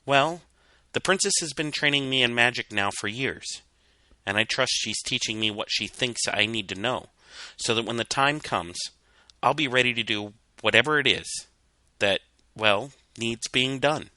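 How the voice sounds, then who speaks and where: A man acts out an earnest young filly from a storybook, a student of magic. The voice is lifted well above a man's usual talk and bright. It is gentle and sincere in manner, recorded dry. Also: medium pitch, normal speed, dry recording, pitched above the performer's own voice. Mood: earnest, assured. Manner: soft-spoken, earnest.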